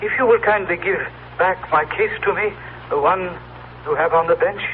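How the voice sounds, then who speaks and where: An actor playing a calm male visitor from the future in a 1970s radio drama, speaking in formal, halting, measured phrases. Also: sits high, close to an everyday voice. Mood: weary, insistent. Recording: noisy, tinny vintage radio recording, humming.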